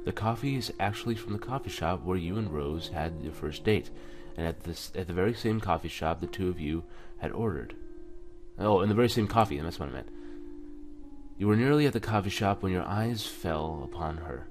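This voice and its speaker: A man's voice: sits low, animated, a little fast. A man hosting a let's play, reading game text aloud with casual reactions and often stumbling and correcting himself. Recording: music under the voice.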